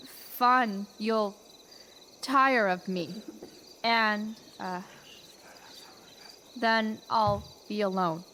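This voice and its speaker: A woman voicing a teenage girl in a video game, haltingly improvising verse as a loyal spirit servant on stage. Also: on the high side, fairly bright tone, a little hiss or hum. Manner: halting, searching for words.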